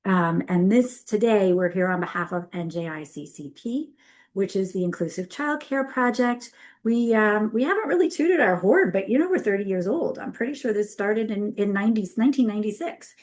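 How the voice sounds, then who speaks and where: A woman's voice: mid-range voice, expressive, moderate pace, darkish tone. A woman presenting on a video call webinar, talking in a chatty, upbeat way and correcting herself as she goes.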